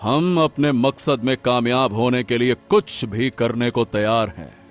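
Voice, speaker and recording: A man's voice: medium pitch, unhurried, soft background music, lightly acted. A man voicing an arrogant, power-hungry cartoon king with an imperious, theatrical delivery. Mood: imperious, greedy. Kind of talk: cartoon story voice acting.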